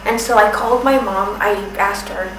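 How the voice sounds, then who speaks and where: A woman in her thirties who stutters, speaking slowly with pauses and restarts in a personal testimony. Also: mid-range voice, fairly even intonation. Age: 30s. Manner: stutters, with repeated sounds and restarts; slow, careful, with pauses. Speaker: documentary submitter. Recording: roomy, distant from the mic, noisy background.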